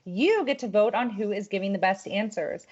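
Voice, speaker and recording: A woman's voice: mid-range voice, a little mellow, dull audio. A woman hosting a live dating game show from home over a video call, teasing her guests playfully. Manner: playful teasing, quick laughs. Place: home at her parents' house.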